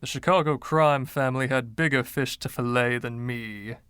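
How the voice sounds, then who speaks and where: A man's voice: medium pitch, normal speed, fairly bright tone, smooth, no room sound, natural voice. Guy doing a boastful giggly dandy from the twenties, silky voice, theatrical storybook read, real dry close sound. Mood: teasing, dismissive. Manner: theatrical, teasing, old-fashioned slang. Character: flamboyant, boastful, giggly 1920s dandy named Freddie.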